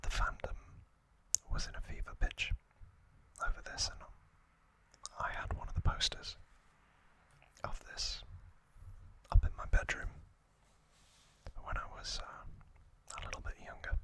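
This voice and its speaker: A man hosting ASMR trivia in a breathy, unvoiced whisper, speaking in drawn-out phrases with frequent pauses, close to the microphone. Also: bright, forceful tone, low-quality recording. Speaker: ASMR host.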